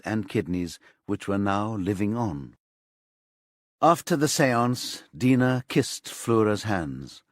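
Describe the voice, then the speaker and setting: A man with a fairly low voice narrates a crime thriller audiobook. His delivery is measured and scripted, and the recording is very dry and close, with no room sound. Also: no echo.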